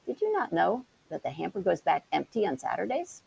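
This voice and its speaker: A fussy, genteel town mouse from a children's storybook, played by a woman. He is polite and a little huffy, with lively swings of pitch and a heavy creak. A faint hiss sits behind the voice. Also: fairly quick, heavily acted, poor audio. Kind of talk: storybook character voice. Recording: hissy background noise.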